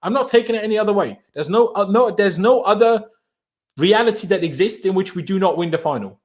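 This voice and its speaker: Young guy w a german accent doing a football livestream, kinda high voice, chatty and animated. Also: fast talker. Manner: chatty, animated, answers viewer questions. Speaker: football channel host. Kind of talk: live-stream chat answering viewers.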